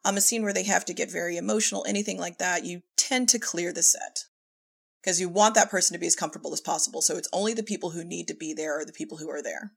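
Low-voiced, gravelly woman in conversation. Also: fast talker. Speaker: podcast guest, audio drama director and filmmaker. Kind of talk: conversational interview, anecdotes about work.

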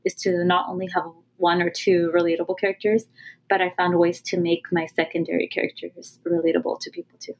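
Low-pitched woman, candid guest. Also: level tone, a little fast, poor audio. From California, USA. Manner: talks openly with many ums and restarts, searching for words. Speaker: guest author. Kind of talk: casual interview talk.

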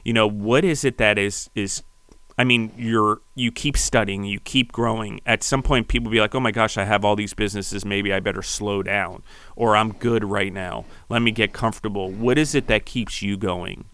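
A man hosting a podcast, asking rambling, fast-talking questions of his guest. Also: on the low side, fairly even intonation, fairly bright tone, clean tone, no echo. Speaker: podcast host. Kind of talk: casual interview questions.

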